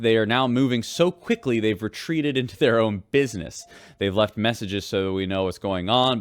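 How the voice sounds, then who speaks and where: An actor plays a weary male radio host reporting from a spaceship in a sci-fi drama. His voice is low and closely recorded with a dry sound. He is wry and measured as he delivers the news. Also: no echo, lightly acted.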